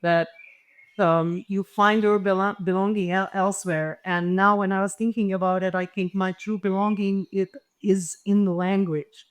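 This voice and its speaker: A woman's voice: medium pitch, flat delivery, moderate pace, clear, resonant tone. A woman from the former Yugoslavia, a native Serbo-Croatian speaker, thinking aloud on a panel with many hesitations. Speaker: panelist and literature researcher. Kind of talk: reflective panel discussion answer.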